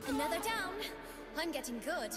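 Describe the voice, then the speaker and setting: A woman's voice: extremely high pitch, big pitch swings, normal speed, over music. A woman voicing a confident, sassy and curious young doll come to life in a video game, with playful, theatrical taunts. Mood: gleeful. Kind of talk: video game character voice lines.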